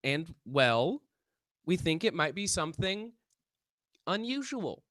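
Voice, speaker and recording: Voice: mid-range voice, big pitch swings, slow, a bit bright, no room sound, clean studio sound. A performer playing an earnest, persuasive young male paranormal investigator in a script table read. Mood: placating, nervous.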